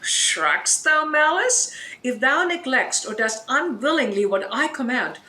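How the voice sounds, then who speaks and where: A woman playing a commanding, stern sorceress in a Shakespearean audio drama, speaking with measured, declaimed authority. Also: high-pitched, big pitch swings, sharp tone, full character voice. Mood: wrathful. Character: commanding, stern sorceress mother, mistress of spirits.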